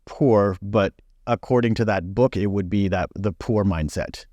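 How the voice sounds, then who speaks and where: A man's voice: on the low side, a little slow, mellow, no echo. A man in his forties, born in Belgium, speaking frankly as a podcast guest with many fillers. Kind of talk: casual interview chat.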